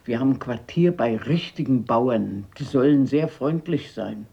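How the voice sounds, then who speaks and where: A woman playing a fussy, determined, affectionate old grandmother in a radio drama, insistent and husky. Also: extremely low pitch, lively intonation, dark tone, highs cut off, heavily acted. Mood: pleased. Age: older. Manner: brisk commands, fussing, insistent.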